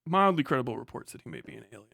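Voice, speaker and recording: A man's voice: mid-range voice, lively intonation, racing, vocal fry, a bit bright, dry recording, studio quality. A man doing casual, joking reaction commentary as a live streamer, sarcastic and swearing freely.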